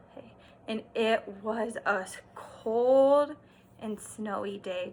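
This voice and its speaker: A woman teacher doing an expressive read-aloud for young children, stressing words and pausing to explain and ask simple questions. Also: mid-range voice. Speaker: kindergarten teacher.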